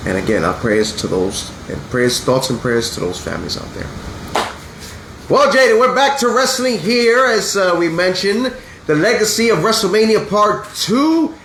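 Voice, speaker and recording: A man's voice: high-pitched, big pitch swings, normal speed. A man in his thirties hosting a casual wrestling podcast, sounding congested from a sinus infection and talking in an earnest, blunt way that turns to swearing when he gets upset. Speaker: podcast host. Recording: echoey room with faint hum.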